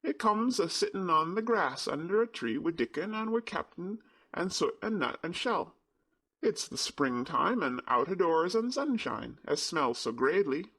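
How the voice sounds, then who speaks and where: A man's voice: high voice, very animated, a bit bright, breathy edge, pitched above the performer's own voice, full character voice. A man voicing a young girl who proudly puts on a broad Yorkshire dialect. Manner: slow, proud, deliberately broad.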